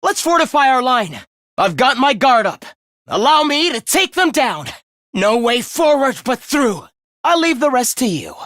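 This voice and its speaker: An actor playing a polite, earnest young male fighter in a video game, with a youthful, slightly husky voice and formal phrasing. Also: very high-pitched, very animated, unhurried, a bit bright, no room sound, clean studio sound, heavily acted. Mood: determined, helpful. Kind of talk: video game battle lines.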